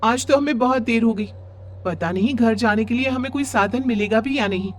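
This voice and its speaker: A woman's voice: fairly high pitch, normal speed, close to an everyday voice. A woman voicing a timid, anxious, fretful young working woman in a horror cartoon, with music underneath. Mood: worried. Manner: worried, pleading.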